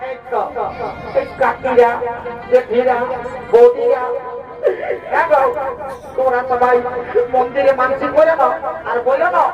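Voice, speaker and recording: A man's voice: very high-pitched, level tone, unhurried, long pauses. A man playing a quarrelsome, wailing village wife in a Bengali folk comedy stage show, taunting with repeated exclamations and curses. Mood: pleading, mock-wailing. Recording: echoey distant stage PA, dull, music and crowd.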